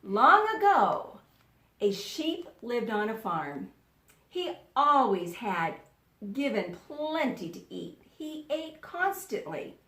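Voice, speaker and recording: Lady teacher doing a read aloud for young kids, really slow and instructional, roomy echo on it. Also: on the high side, big pitch swings, bright, forceful tone. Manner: deliberate, instructional, repeats words for pupils to echo. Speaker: elementary school teacher. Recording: roomy, echoing sound.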